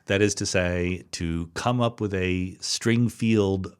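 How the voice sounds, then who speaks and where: A man's voice: low voice, steady tone, takes it slowly, clean tone. A middle-aged man hosting a solo podcast, answering listener questions in an explanatory way and thinking aloud with small restarts. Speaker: podcast host.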